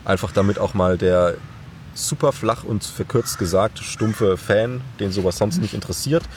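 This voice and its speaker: A man's voice: on the low side, moderate pace, darkish tone, slight background noise. A man who hosts a podcast, talking casually and explaining things informally as he thinks aloud. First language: German. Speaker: podcast host. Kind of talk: casual conversational interview talk about podcasting.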